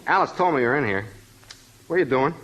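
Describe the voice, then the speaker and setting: Very noisy, clicky old radio carries an actor playing a breezy best pal. He rattles off mocking wisecracks quickly, in lively 1940s sitcom style. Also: medium pitch, natural voice. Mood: teasing. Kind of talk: 1940s radio comedy acting.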